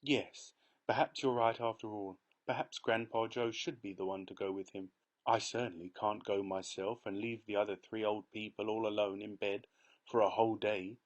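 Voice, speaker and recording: A man voicing a sensible, calm mother in a storybook, gentle but firm. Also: fairly low pitch, moderate pace, muffled recording, natural voice. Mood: amused.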